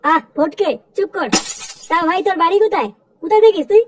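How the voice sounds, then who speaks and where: High-pitched bossy cartoon gang boss. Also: quick pace, no echo, soft background music. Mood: impatient. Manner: bossy, coarse, swearing constantly. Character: bossy, sweary cartoon village gang leader, male. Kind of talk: comic cartoon dialogue. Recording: distant, very noisy cartoon soundtrack.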